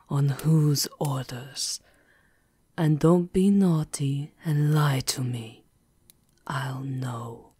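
Woman playing slow, silky, commanding vampire countess. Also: sits low, dark tone, breathy edge, dry recording, studio quality, full character voice. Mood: cold, menacing. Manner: slow, theatrical, teasing menace with endearments. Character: commanding aristocratic vampire countess, tender to lover, menacing. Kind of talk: ASMR roleplay.

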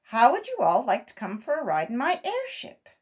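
Woman reading as a courteous, animated old gentleman rabbit. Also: a little high, normal speed, slightly rough, voice pushed up, exaggerated voice. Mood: polite. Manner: courteous, expressive.

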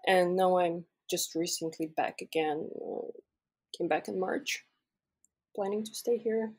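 A woman's voice: on the low side, measured pace, a little mellow, husky. A young woman from Russia, a guest on an interview podcast, explaining her work in a friendly way with frequent "uh" and "um". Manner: friendly, explains step by step with fillers. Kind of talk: casual interview answers.

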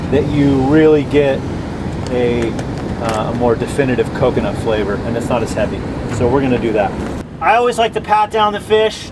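A man in his forties explaining cooking casually as a guest cook, in a halting, measured way. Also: medium pitch, background noise. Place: outdoors on rocky seashore.

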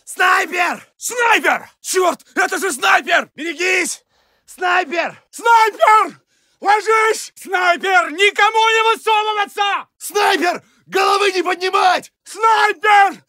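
An actor playing an alarmed Russian soldier of the First World War in a video game, shouting terse, urgent warnings to his comrades. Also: very high voice, slow, no room sound, studio quality, some chatter behind, exaggerated voice. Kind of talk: shouted battlefield alert barks.

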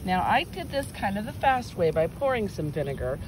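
A woman's voice: medium pitch, sing-song, fairly quick. A woman teacher giving a hands-on demonstration to children and parents, exclaiming and coaxing with questions, recorded outdoors on a windy day. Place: outdoors in wind and sun.

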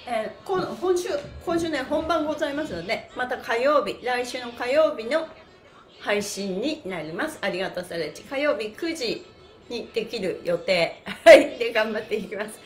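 A woman hosting a livestreamed exercise class, chatting to viewers in a cheerful, energetic, polite presenter manner. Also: sits high, moderate pace, faint music behind. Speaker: host of a livestreamed stretching class, pantomime performer. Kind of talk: upbeat exercise instruction and casual livestream chat to viewers. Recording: roomy echo, slight background noise.

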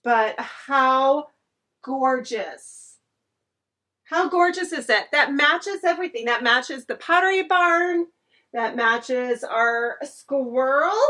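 A woman's voice: high-pitched, pushed and bright. A woman in her thirties chatting enthusiastically to the camera as she unboxes home decor in her living room, heard through a camera across the room. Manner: chatty and enthusiastic, gushing over items. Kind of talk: casual unboxing chat to camera.

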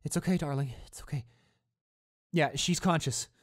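A man playing a devoted, anxious young boyfriend in an intimate audio roleplay, speaking tenderly and haltingly with repeated reassurances. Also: fairly high pitch, close to an everyday voice. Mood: anxious, urgent. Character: devoted, anxious young boyfriend comforting his hurt girlfriend. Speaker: voice actor.

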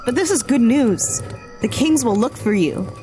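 A woman playing an excitable, hot-tempered and curious demon in an audio drama, blunt and casual. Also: a little high, measured pace, soft background music. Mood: inquisitive. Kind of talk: audio fiction podcast acting.

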